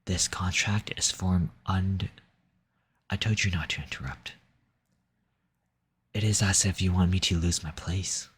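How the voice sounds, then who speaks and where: Man playing a deep, husky possessive demon. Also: exaggerated voice. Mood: commanding, smooth, then irritated, formal. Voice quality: husky, breathy, often dropping into whisper. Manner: formal, menacing, coaxing. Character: possessive seductive male demon, silver-tongued and domineering. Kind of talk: intimate scripted audio roleplay.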